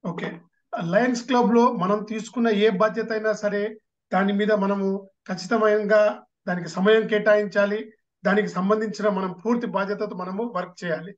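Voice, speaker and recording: A high-voiced man on a muffled online link, formally and respectfully taking his turn at a speaking exercise in class. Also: steady tone, a little fast. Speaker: participant. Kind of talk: short impromptu practice speech.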